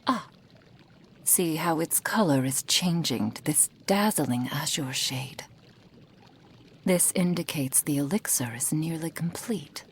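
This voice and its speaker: A woman's voice: low voice, takes it slowly, a little hiss or hum. A woman voicing a possessive kitsune witch mentor in a roleplay ASMR, speaking in formal, old-fashioned phrases. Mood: soft, coaxing. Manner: breathy whispering, formal archaic phrasing.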